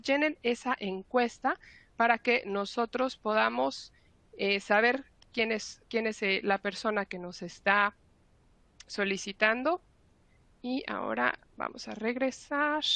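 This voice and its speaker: A woman opens an online webinar in a friendly, explanatory way, her voice hoarse and gravelly from illness. Her tone is bright and fairly high. The sound is dry and close. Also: no room sound. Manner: friendly, explanatory, filler-heavy. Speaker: marketing presenter. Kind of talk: webinar host introduction.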